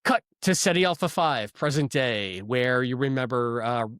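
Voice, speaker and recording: A man's voice: medium pitch, lively intonation, normal speed, fairly bright tone, smooth, dry recording, clean studio sound. A man co-hosting a podcast in a casual, chatty review style. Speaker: podcast co-host. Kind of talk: casual review chat with recaps.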